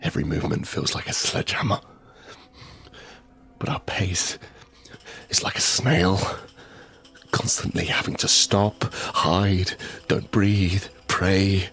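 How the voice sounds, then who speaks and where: An actor playing an injured, desperate father in a first-person audio drama, halting as he speaks. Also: sits low, gravelly, fairly bright tone, breathy edge, exaggerated voice. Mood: pained, grave narration. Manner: halting, repetitive, pleading in dialogue. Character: injured, desperate father pleading to save his family.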